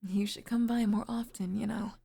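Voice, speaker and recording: A woman playing a sweet, flirtatious middle-aged single mother in an intimate ASMR roleplay, speaking softly and breathily, often near a whisper. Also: mid-range voice, fairly even intonation, normal speed, vocal fry, breathy edge. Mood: tender, grateful.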